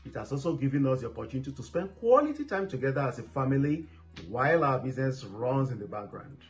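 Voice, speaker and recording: A man giving a calm, steady testimonial on behalf of his family. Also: medium pitch, quick pace, soft background music. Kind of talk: personal testimonial. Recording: dull lo-fi recording.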